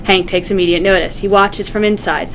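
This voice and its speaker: Woman narrating a script, medium pitch. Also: fairly even intonation, brisk, a little hiss or hum, rough recording. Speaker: narrator reading stage directions. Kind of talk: scripted narration at a table read.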